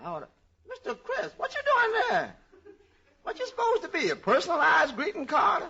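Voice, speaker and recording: A man's voice: very high voice, huge pitch swings, moderate pace, creaky, sharp tone, some chatter behind, heavily acted. A man with an American accent playing a folksy, wisecracking household helper in 1940s radio comedy. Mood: puzzled, teasing. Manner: folksy, teasing, puzzled questioning. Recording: muffled old radio recording.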